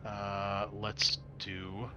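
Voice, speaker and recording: Male game master, bright forward voice, dramatic tabletop narration that drops into casual rules explanations. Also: fairly low pitch, completely flat delivery, extremely slow. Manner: dramatic scene-setting mixed with casual rules talk.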